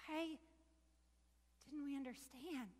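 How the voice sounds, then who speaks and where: A woman's voice: high voice, takes it slowly, a little mellow. A woman performing a biblical disciple in a heartfelt, emotionally charged first-person monologue. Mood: reflective. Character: grieving devoted woman disciple, earnest and emotional. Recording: long echoing reverb of a large hall.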